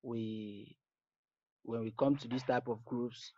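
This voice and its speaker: A man's voice: mid-range voice, steady tone, slow, warm tone, dry recording. A man with a Nigerian accent leaving an informal, hesitant spoken message, often repeating his phrases. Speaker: voicemail caller. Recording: dull lo-fi voice message.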